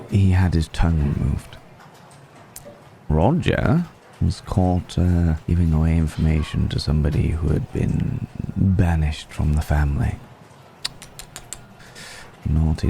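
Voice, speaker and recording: A man voicing a suave, menacing vampire noble in an intimate ASMR roleplay, speaking in a genteel, teasing way with drawn-out pauses. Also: rumbling low, vocal fry, mellow, slight background noise, exaggerated voice. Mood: teasing, mocking. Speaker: voice actor.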